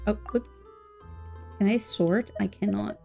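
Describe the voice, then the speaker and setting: A woman livestreaming a game, chatting casually and playfully with expressive exclamations and silly filler words, game music behind her. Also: medium pitch, measured pace, creaky. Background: faint video game music.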